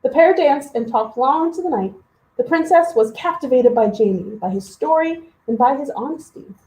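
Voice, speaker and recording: A woman hosting a children's story hour, reading expressively with animated, chatty asides to young listeners. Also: high-pitched, moderate pace, reverberant, rough recording.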